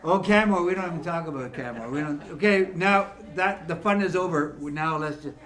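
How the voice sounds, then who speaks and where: A man's voice: a little high, a little fast, fairly bright tone. A man in his fifties from Ontario, a musician chatting and teasing an audience between songs. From Ontario, Canada. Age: 50s. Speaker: musician entertaining seniors. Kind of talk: joking banter between songs.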